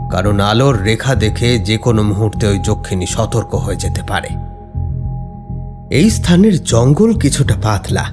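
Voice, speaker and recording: A man narrating a scripted horror story in a dramatic, suspenseful, deliberate way. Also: lowish voice.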